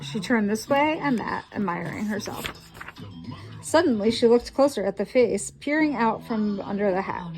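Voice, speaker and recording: A woman reading a children's picture book aloud in a slow, expressive storytelling manner. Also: medium pitch, over music, rough recording.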